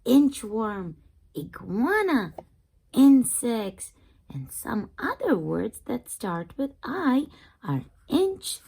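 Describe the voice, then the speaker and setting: A woman's voice: fairly high pitch, big pitch swings, drawn-out words, creaky, dark tone, husky. A woman reading a picture book aloud to young children in a teacherly manner, putting questions to the listeners. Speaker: children's read-aloud channel host.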